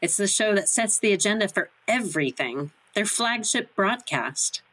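A gentle, sensible woman rebel in an audio drama. She is courteous, with a medium-pitched voice in a dry, close recording. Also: animated, moderate pace, dry recording, rough recording. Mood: practical.

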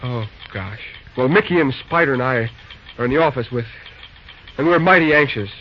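An actor playing a steady male railroad foreman who takes charge, with a slightly stilted reading, in an old radio drama. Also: medium pitch, moderate pace, close to an everyday voice. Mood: anxious. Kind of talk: 1940s radio drama acting. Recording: dull lo-fi old radio recording with faint noise.

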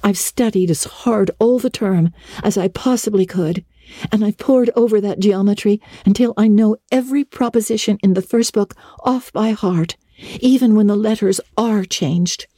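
Woman voicing an eager, breathless young girl, pitched up. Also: normal speed, mellow, dry recording, studio quality, natural voice. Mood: chatty, dreamy eagerness. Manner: breathless, gushing, earnest. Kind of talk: dramatic literary reading.

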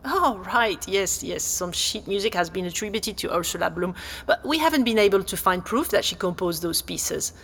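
An actress playing a helpful, slightly flustered exhibition guide, speaking politely and hesitantly in naturalistic audio drama dialogue. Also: female voice, medium pitch, animated, moderate pace, hardly any pauses, a bit bright, slight background noise. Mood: polite, doubtful. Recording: long hall-like reverb.

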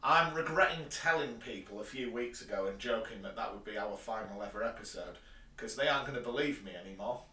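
A stuffy-sounding male co-host with a bright, sharp voice, recorded away from the mic in an echoey room. He jokes dryly and sarcastically through his hay fever. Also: fairly low pitch, a little fast, far from the mic. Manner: dry, sarcastic humour. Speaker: podcast co-host. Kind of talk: casual podcast chat.

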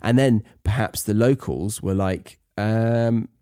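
Deep, mellow British man, podcast host. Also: slow pace, smooth, no echo, studio quality. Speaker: podcast host and English teacher. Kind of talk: conversational educational podcast talk.

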